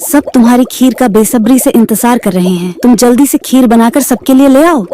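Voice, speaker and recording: A woman's voice: on the high side, moderate pace, warm tone, slight background noise, lightly acted. A woman playing a nagging middle-aged Indian mother-in-law in a cartoon, with a scolding, commanding manner. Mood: bossy. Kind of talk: Hindi moral-story cartoon acting.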